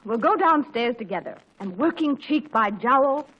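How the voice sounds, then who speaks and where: A woman's voice: fairly high pitch, expressive, normal speed, a little hiss or hum, natural voice. A woman playing a genteel, fluttery middle-aged mother in a 1940s radio sitcom, with gushing, hesitant, sociable talk. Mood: gently scheming. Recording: muffled 1940s radio recording.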